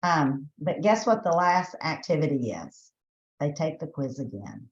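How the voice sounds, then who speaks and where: A woman's voice: low-pitched, big pitch swings, a little slow, reverberant, lo-fi sound. A woman from Texas with a Southern accent, presenting a teacher training webinar in a chatty way, often restarting her sentences. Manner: chatty, thinks aloud with restarts and fillers.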